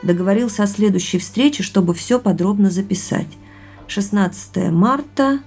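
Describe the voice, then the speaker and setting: A woman's voice: medium pitch, moderate pace, darkish tone, breathy edge. An actress playing a thoughtful young woman in a naturalistic audio drama, speaking in a reflective, earnest way. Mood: absorbed reading aloud. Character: thoughtful young woman sharing family mystery with boyfriend. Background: music underneath.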